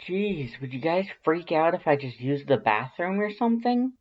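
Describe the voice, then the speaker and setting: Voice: medium pitch, lively intonation, moderate pace, muffled recording, pitched above the performer's own voice, natural voice. A narrator voicing an exasperated, sarcastic human woman in a story, defensive and petulant. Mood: flustered, defensive.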